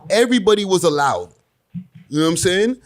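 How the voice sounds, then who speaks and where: A man talking casually in a panel discussion, conversational and slangy, quick to laugh. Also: high voice, expressive, dry recording. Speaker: podcast panelist.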